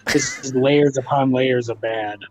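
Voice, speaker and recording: A man's voice: mid-range voice, fairly even intonation, unhurried, a little mellow. A man co-hosting a game stream with casual, teasing banter. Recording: dull lo-fi mic, music behind. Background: game music.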